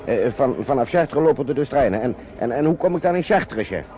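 A man's voice: medium pitch, lively intonation, a little fast, lightly acted. An actor playing a flustered but determined Englishman with children in a Dutch radio play, speaking hesitantly and repeating himself. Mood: worried. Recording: dull, noisy old radio recording.

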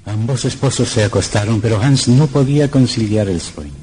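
A man's voice: mid-range voice, fairly quick, dark tone. A man narrating a scripted old radio horror drama in a dramatic, suspenseful manner.

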